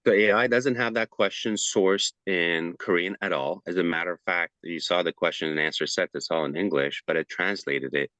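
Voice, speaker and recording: A man's voice: low voice, moderate pace, few pauses, sharp tone, dry recording. A man giving a casual presentation over a video call, chatty and loose, with frequent fillers and restarts.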